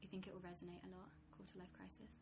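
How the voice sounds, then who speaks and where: A woman's voice: fairly low pitch, completely flat delivery, racing, noisy background. A woman host wrapping up an interview and introducing upcoming shows in a casual style. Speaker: podcast host. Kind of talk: casual chat.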